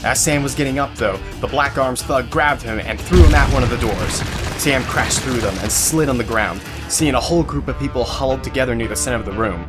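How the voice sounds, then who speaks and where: A man's voice: medium pitch, a little fast. Male audio drama narrator over music. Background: music, guitar.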